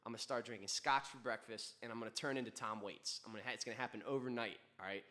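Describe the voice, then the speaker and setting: A man who is a singer-songwriter, chatting casually and rambling between songs on a livestream, laid-back and profane with sudden quick bursts. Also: medium pitch, steady tone, bright, forceful tone.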